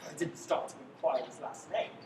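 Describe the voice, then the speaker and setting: A woman's voice: low voice, a little fast. A young woman playing a wry man on the run in a live radio play, with dramatic delivery. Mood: earnest, wry. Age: young adult. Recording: echoey, noisy livestream, distant mic.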